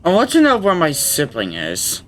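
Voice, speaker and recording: A man's voice: on the high side, huge pitch swings, normal speed, a bit bright, slight background noise. A man reading video game dialogue aloud as a gamer. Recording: music behind the voice.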